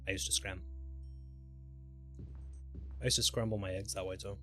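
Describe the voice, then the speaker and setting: Low-voiced man casually commentating a game. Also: steady tone, normal speed, fairly bright tone, faint music behind. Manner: playful, profane, goofy vocal asides. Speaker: game livestreamer. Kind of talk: casual live gameplay commentary.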